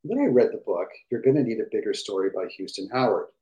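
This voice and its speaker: Deep-voiced middle-aged man, rambling. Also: lively intonation, fast talker, mellow, rough recording. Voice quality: fairly deep voice. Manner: enthusiastic, rambling with fillers and restarts. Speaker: guest, game industry executive. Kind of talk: casual podcast chat. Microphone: gaming headset.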